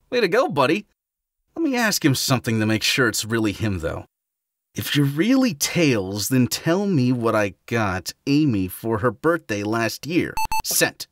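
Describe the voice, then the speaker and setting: A man's voice: medium pitch, sing-song, measured pace, dry recording. A man voicing an eager, friendly young male hedgehog in a fan audio drama, easygoing and upbeat.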